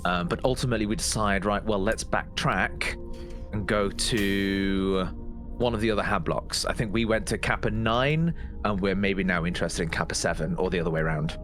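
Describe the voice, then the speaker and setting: Low-voiced man recapping a tabletop game. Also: fairly even intonation, normal speed, music in the background. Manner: hesitant, repeats words and trails off. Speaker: player in a tabletop roleplaying game show. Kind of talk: casual spoken recap of game events.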